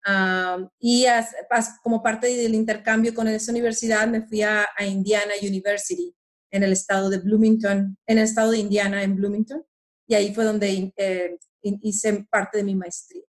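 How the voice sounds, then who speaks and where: A woman answers interview questions in a friendly, unhurried way. She fills her pauses with soft "am" sounds and often restarts her phrases. Her voice sits at a medium pitch. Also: little pitch movement. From Monterrey, Mexico. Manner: hesitant, many "am" fillers and restarts, friendly. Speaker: interview guest.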